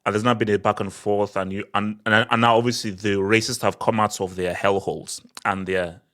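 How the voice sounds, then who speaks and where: A man from Nigeria co-hosting a football podcast, talking in an animated, emphatic way and often repeating words as he builds a point. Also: fairly low pitch, brisk. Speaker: podcast co-host. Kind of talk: casual football discussion.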